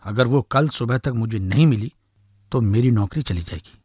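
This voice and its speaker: A man playing an earnest, anxious husband and office worker in an animated story, with a husky voice. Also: medium pitch, normal speed, no echo, clean studio sound, natural voice. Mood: worried. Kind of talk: animated moral story voice acting.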